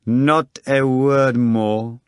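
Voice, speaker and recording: A man's voice: fairly even intonation, clean tone, no echo, studio quality. Man voicing a heavy-voiced, suave foreign stranger. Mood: smoothly commanding. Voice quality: low, heavy voice. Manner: slow, deliberate, formally courteous. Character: mysterious suave male foreign stranger, calmly commanding. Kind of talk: audiobook character voice.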